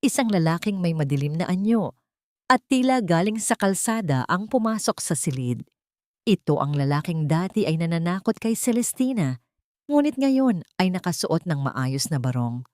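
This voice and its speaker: A woman's voice: lowish voice, big pitch swings, moderate pace, a little mellow, no echo, clean studio sound. A woman reading a dramatic story as a scripted audio drama narration.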